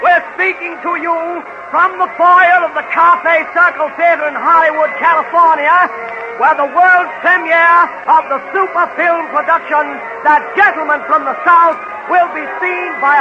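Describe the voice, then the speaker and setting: An actor playing an excited premiere announcer in 1930s radio style, proclaiming grandly. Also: male voice, very high-pitched, a little slow. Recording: noisy, muffled 1930s radio recording.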